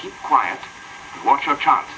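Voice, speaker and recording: A grave, commanding American gentleman crime fighter in a 1930s radio play. He speaks deliberately and with authority at a medium pitch. The old broadcast recording is clearly noisy. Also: animated. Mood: urgent, commanding. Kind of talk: 1930s radio drama acting.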